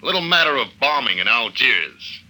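Casting: tough, wry radio sleuth, very bright forceful voice, clipped hard-boiled delivery, vintage radio sound. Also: male voice, mid-range voice, sing-song, fairly quick, poor audio. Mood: confident, explaining. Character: tough, wry male detective agent narrating his own case. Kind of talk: old-time radio drama acting, hard-boiled first-person narration. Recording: vintage radio drama recording.

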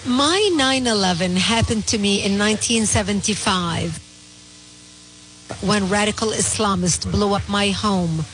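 A woman in her fifties from Lebanon, a native Arabic speaker, talking in an interview in an emphatic, insistent way and listing her points in rapid series. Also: medium pitch, fairly bright tone, slight background noise, rough recording. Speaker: guest commentator on terrorism.

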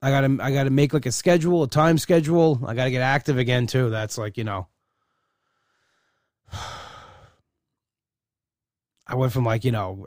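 Middle-aged male host, candid rambling monologue, medium pitch, dry close mic. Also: a little mellow, no room sound. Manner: rambling, candid, many restarts. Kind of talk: casual personal monologue.

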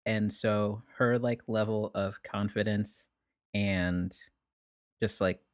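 A young man, a musician guest, telling stories in a relaxed, rambling way, with many "like"s and frequent laughs. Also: on the low side, slow pace, no echo, studio quality. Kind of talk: casual conversation.

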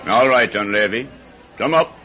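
A man's voice: mid-range voice, lively intonation, moderate pace, pushed and bright. A man playing an impatient, authoritative Irish magistrate in a radio drama, brusque in his questioning. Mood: brisk questioning. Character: impatient Irish district magistrate keeping order in court. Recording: lo-fi radio recording with hiss.

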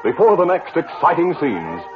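A man's voice: a little high, huge pitch swings, moderate pace, music in the background. A man announcing and narrating a 1950s radio western in a polished, dramatic delivery. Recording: muffled, noisy old radio broadcast.

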